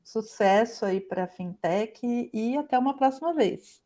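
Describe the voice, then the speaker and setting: A woman's voice: a little high, animated, a little slow, dark tone. A woman with a Brazilian accent hosting an interview in a casual, informal and encouraging conversational way. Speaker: interviewer, host.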